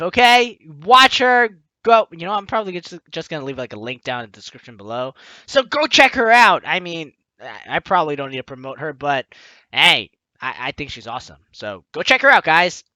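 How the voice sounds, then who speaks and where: An excitable, playful let's play host who stammers and interrupts their own reading of game text. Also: medium pitch, no echo. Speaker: gaming video host.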